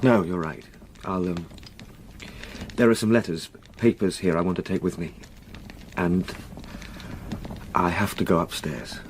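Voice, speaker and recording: An actor playing a shaken, courteous young English gentleman in a radio drama, speaking formally and hesitantly. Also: low voice, fairly even intonation, unhurried, pauses often, slight background noise. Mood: troubled, resolute. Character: shaken, polite young gentleman haunted by terror.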